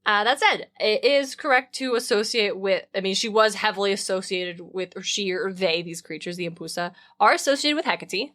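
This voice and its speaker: Female podcast co-host, mid-pitched voice; informal explainer, wry and opinionated. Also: expressive, moderate pace, few pauses, a bit bright. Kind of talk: casual, researched podcast explainer.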